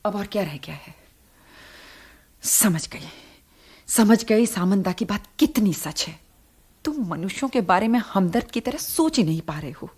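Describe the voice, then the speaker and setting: Radio drama wife and mother: earnest, principled, argumentative, animated intonation, faintly husky. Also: mid-range voice, measured pace, long pauses. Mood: reproachful. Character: middle-class wife and mother, caring, reproachful, principled. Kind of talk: Hindi radio drama acting.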